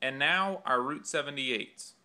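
Bright-voiced young man explaining in a workshop. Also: mid-range voice. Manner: measured, explanatory, reads from a script. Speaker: ski company presenter. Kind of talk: scripted product demonstration. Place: small indoor workshop. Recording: echoey workshop room.

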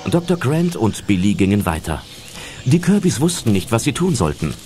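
A male narrator tells a German audio drama from a script. His voice is low and very animated in pitch, laid over background music. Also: normal speed.

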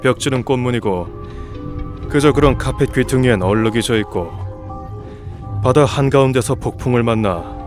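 A man's voice: medium pitch, normal speed, long pauses, music in the background, close to an everyday voice. A man in an amateur film dub, playing a cynical hotel guest with a sardonic, easily angered manner. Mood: dry, sardonic. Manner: sardonic, muttering observations, explosive when angry. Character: cynical male hotel guest, dryly observant, easily enraged. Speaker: amateur voice actor. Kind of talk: fan-dub film acting.

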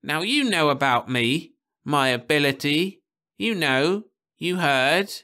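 A man doing a high, jittery old space drifter. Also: slow, full character voice. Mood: eager pleading. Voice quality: high, excited voice. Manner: hasty and pleading. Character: jittery old male ex-drunk computing whiz.

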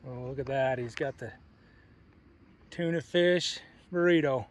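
A middle-aged American man with a folksy, excitable manner, narrating his own backpacking and fishing trip outdoors by a mountain lake, recorded on a handheld camera. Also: mid-range voice, a little slow. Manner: folksy, excitable, says "heck yeah". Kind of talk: casual outdoor vlog narration.

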